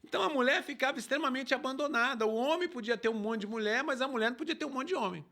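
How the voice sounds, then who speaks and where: A man, a pastor explaining scripture in an emphatic, lively talk-show style. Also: extremely high pitch, very fast.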